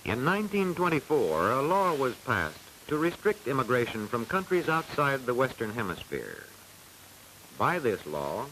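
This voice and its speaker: An American man reading scripted narration for a 1940s educational film in a formal, measured, declamatory way, on a hissy old soundtrack. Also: medium pitch, fairly bright tone, rough recording.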